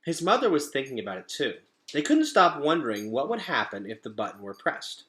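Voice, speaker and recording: A man reading a children's storybook aloud to pupils in an expressive, deliberate storytelling manner. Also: mid-range voice.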